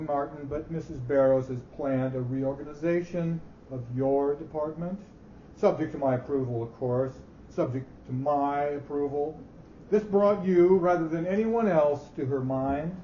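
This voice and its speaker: Man playing a high-voiced, fussy old boss, roomy recording. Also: dark tone, close to an everyday voice. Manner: halting, formal, grave. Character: elderly male company boss, hesitant and fussy. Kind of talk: staged dramatic reading of a short story. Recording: roomy, muffled live recording with hiss.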